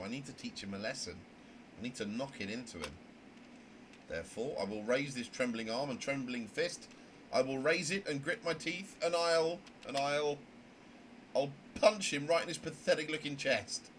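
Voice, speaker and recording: A man's voice: mid-range voice, moderate pace, a bit bright, lightly acted. A man voicing an anxious, rule-bound young trainee in a visual novel, earnest and flustered, stammering with indignation. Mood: indignant, worked up. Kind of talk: amateur voice acting of a visual novel. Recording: noisy home recording.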